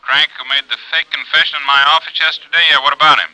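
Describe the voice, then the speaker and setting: Fast, forceful American police lieutenant. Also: male voice, a little high, steady tone, low-quality recording. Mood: brisk, interested. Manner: businesslike, colloquial, no-nonsense. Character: businesslike male New York homicide police lieutenant. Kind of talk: 1950s radio drama acting.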